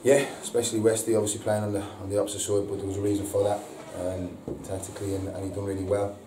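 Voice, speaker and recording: Man in his thirties, post-match manager, hesitant and repetitive, low even voice, far mic in an echoey noisy room. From England. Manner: halting, repeats words, frequent ums. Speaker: football manager. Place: indoor press room before a sponsor board. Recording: echoey room, distant mic, audible hiss.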